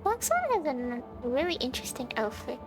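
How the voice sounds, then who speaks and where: A woman's voice: high voice, very animated, fairly quick. A woman livestreamer chatting casually to viewers as she plays a game, thinking aloud and often asking questions. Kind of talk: casual chat while playing a game for viewers. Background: video game music.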